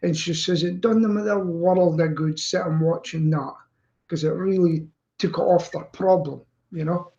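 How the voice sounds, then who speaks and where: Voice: medium pitch, fairly even intonation, normal speed, mellow, lo-fi sound. A co-host chatting casually on an online show, telling things in a rambling, anecdotal way over a streaming call. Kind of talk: casual chat. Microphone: live streaming video call.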